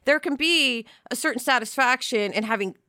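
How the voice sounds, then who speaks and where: A woman's voice: high voice, normal speed, sharp tone, dry recording, clean studio sound. A middle-aged woman hosting a casual talk show discussion in a blunt, joking, self-deprecating way. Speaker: podcast host.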